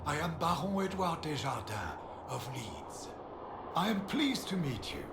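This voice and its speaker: A courtly nobleman from a fantasy game. His fairly high voice swoops about with flowery, ingratiating formality. The recording echoes with loud noise behind it. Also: big pitch swings, measured pace, vocal fry, full character voice. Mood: courteous. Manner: formal, flowery greeting style. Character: courtly, ingratiating aristocratic baron. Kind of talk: video game voice acting.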